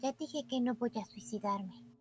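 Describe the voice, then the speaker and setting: A quiet young girl from a video game, played by a woman. Her voice is fairly high, subdued and emotionless, with faint music behind it. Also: moderate pace, close to an everyday voice. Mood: detached. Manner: subdued, detached. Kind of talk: fan game dubbing.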